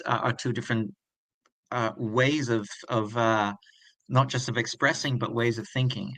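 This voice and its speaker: A man in his forties, originally from Brazil and a native Portuguese speaker, talking through his story as an interview guest. His voice is bright and forward, recorded close and dry. He searches for words, often repeating himself before going on. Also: medium pitch, no echo, poor audio. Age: 40s. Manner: thoughtful, many restarts and repeated words.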